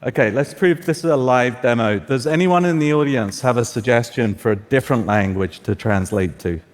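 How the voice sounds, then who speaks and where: Upbeat male presenter in a hall. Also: mid-range voice. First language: Farsi. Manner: upbeat, guiding the demo with quick cues. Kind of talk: live tech demo talk. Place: stage in a large auditorium. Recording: echoey hall.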